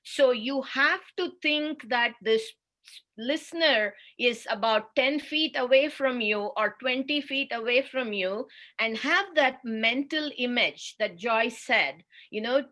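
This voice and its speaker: An Indian woman teaching in a webinar, slow and careful, with each point laid out deliberately. Her voice sits a little high and sounds bright and forward over a video call mic. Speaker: speech therapist. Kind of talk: webinar presentation.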